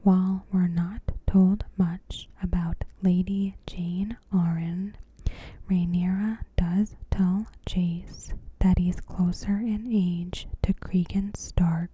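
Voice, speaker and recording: Very slow, breathy whispering woman. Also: fairly low pitch, level tone. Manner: hushed, unhurried, measured delivery in short phrases. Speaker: ASMR host. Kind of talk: ASMR, softly spoken TV episode commentary.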